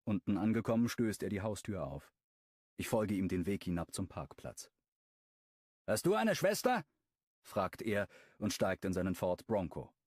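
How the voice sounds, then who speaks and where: Expressive male audiobook narrator, low voice. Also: moderate pace, no room sound, studio quality. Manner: expressive, storytelling delivery. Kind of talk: first-person romance audiobook narration.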